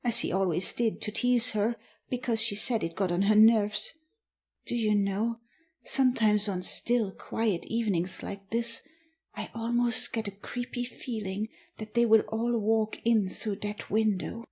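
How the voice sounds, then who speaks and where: A woman playing a self-possessed young girl who tells an eerie tale in a soft, confiding way for an audiobook. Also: medium pitch, fairly even intonation, a little slow, husky. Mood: wistful, eerie. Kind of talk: dramatic audiobook reading.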